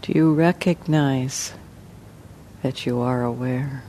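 Mellow low-key woman guiding meditation. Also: fairly even intonation, slight background noise. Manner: slow, gentle delivery with long pauses. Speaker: meditation teacher. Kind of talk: guided meditation.

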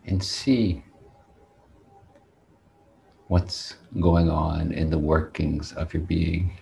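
Male meditation teacher, low gravelly voice, deliberate phrasing with long pauses. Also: flat delivery, warm tone, a little hiss or hum. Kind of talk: guided meditation talk.

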